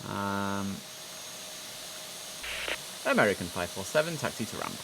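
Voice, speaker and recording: A man's voice: on the low side, sing-song, measured pace, gravelly. A man giving casual, chatty gameplay commentary as he plays air traffic controller, thinking aloud in an informal way. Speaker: streamer playing an air traffic control simulator.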